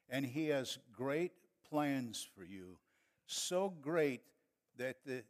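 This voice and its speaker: An older man preaching with a northern Wisconsin accent, his voice sitting a little high for a man. He talks to the congregation in a folksy, chatty way and builds to fervent preaching. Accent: Upper Midwest (northern Wisconsin). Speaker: pastor. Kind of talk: sermon.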